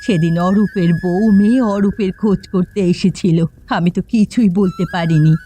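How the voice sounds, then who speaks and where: A woman's voice: medium pitch, normal speed, mellow, faint music behind, close to an everyday voice. A woman voicing a quarrelsome, grieving old village mother-in-law in a cartoon. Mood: fretful, sad. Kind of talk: Bengali moral-tale cartoon dubbing.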